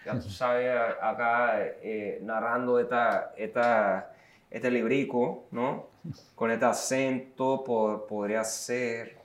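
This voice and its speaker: A man's voice: mid-range voice, steady tone, takes it slowly, reverberant. A man with a Mexican Spanish accent, co-hosting a podcast, joking and sarcastic in a slangy casual chat with friends. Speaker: podcast co-host. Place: studio bar set.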